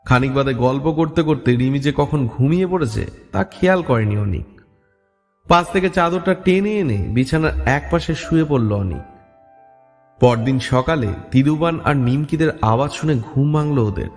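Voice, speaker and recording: A man's voice: mid-range voice, normal speed, soft background music. A man narrating a scripted horror story as a dramatic storyteller. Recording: long reverb.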